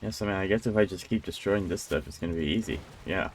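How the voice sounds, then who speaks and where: A man's voice: sits low, quick pace. A man giving casual live gameplay commentary as a streamer, rambling and thinking aloud. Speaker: game streamer.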